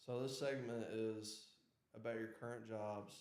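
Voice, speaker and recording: A man's voice: fairly low pitch, steady tone, a little mellow. A man hosting a podcast, chatting casually and teasing his guest. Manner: teasing, jokey, drawn-out. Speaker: podcast host. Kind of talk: casual chat. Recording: echoey room.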